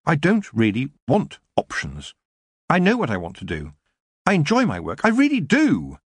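A man's voice: fairly high pitch, huge pitch swings, moderate pace, slightly rough, dry recording, studio quality, pitched above the performer's own voice, exaggerated voice. A man voicing a determined young woman witch in an audiobook, speaking earnestly and frankly. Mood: wistful. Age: young adult. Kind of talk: audiobook character voice.

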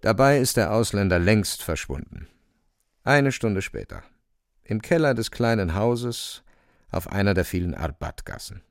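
A man's voice: sits low, vocal fry, dry recording, studio quality. A man narrating a literary radio play.

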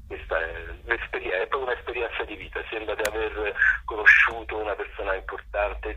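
Older man, very husky, on the phone. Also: on the low side, bright, forceful tone. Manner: halting, searching, with repeated words and pauses. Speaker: stage actor. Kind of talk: reflective radio interview answers. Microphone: telephone. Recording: rough, harsh telephone line.

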